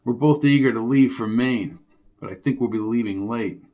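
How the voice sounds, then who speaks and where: A man's voice: mid-range voice, normal speed, dark tone, lightly acted. Brief: male reader playing a tense newspaperman, plain mid voice, short on patience. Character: adult male newspaperman, impatient, exasperated.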